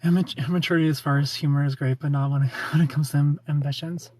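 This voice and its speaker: A man's voice: fairly high pitch, fairly even intonation, quick pace, a little mellow, dry recording. A man in his thirties hosting a casual livestream, reading viewer comments aloud, joking about himself and laughing often. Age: 30s. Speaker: livestream host.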